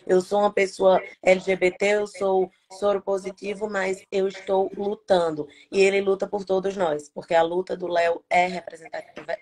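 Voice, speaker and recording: A woman's voice: fairly low pitch, darkish tone. A woman lawyer with a northeastern Brazilian accent, speaking with heartfelt emphasis as a guest on a video call. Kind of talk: casual live-stream talk.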